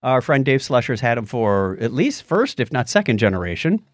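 A man with a mid-pitched voice that swings up and down in lively fashion as he talks. He is a podcast host chatting casually about tech, recorded close and dry. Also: normal speed, dry recording.

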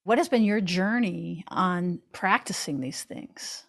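A woman's voice: on the low side, expressive, slow, creaky. A woman co-hosting a podcast, asking questions in a candid, self-deprecating and curious way. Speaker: podcast co-host. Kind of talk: conversational interview.

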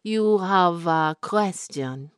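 A woman's voice: lowish voice, clean tone, dry recording, clean studio sound. Woman putting on an ominous fortune teller, fake foreign accent. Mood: mysterious. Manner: slow, halting, dramatic.

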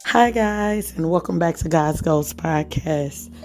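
A woman hosting a devotional podcast, talking hoarsely in a casual, conversational way and reading scripture aloud. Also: low voice, slow, a little mellow, faint music behind. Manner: conversational, reads scripture aloud, chatty fillers. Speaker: podcast host.

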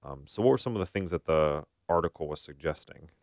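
A man's voice: very deep voice, animated, fairly quick, a little mellow, dry recording, studio quality. A man co-hosting a talk show as a therapist, discussing informally and thinking aloud as he goes. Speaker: podcast co-host, therapist. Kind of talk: casual discussion.

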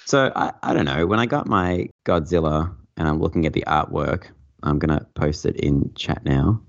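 A man's voice: a bass voice, moderate pace, muffled recording. A man guest on a casual podcast, rambling and joking, tripping over repeated words.

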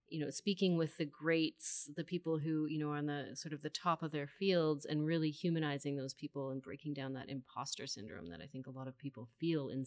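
Low-voiced woman moderating a panel. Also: no room sound, clean studio sound.

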